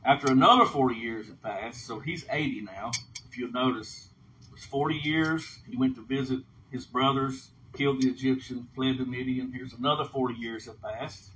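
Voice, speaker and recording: A man's voice: mid-range voice, normal speed, a bit bright. A man giving an informal Bible lesson as a teacher, reading aloud and explaining in an instructive way. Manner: explanatory, often checks in with a short "okay?". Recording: dull lo-fi sound, faint background noise.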